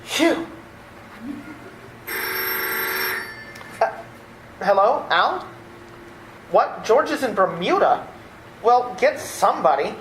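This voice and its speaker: A woman plays a flustered, exasperated nephew in a radio play, halting and repeating himself. The recording is echoey with faint noise. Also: medium pitch, big pitch swings. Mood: harried. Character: flustered, exasperated nephew, a harried theater critic. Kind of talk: old-time radio theater acting.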